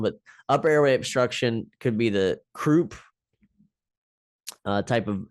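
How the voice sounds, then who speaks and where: A man's voice: fairly low pitch, clear, resonant tone, no echo. A man teaching a class, talking it through loosely with many ums and restarts as he explains step by step. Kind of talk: unscripted lecture.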